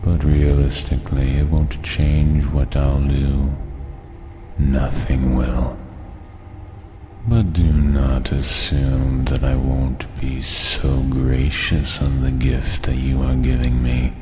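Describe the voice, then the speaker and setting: An ancient, malevolent being who has seized a body and savors it. The voice is extraordinarily deep and moves at a crawl, dropping into whispery, drawn-out words. It delivers a gloating villain monologue for a horror audio drama over prominent music. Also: male voice, flat delivery, darkish tone. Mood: gloating menace. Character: ancient menacing male entity possessing a victim.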